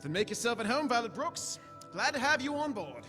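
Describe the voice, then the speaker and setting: A man playing a high-voiced, grandiose time-traveller. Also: sing-song, brisk, pushed and bright, exaggerated voice. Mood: grand, delighted. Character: eccentric, commanding, kindly male time-travelling alien adventurer. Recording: echoey stage hall with music.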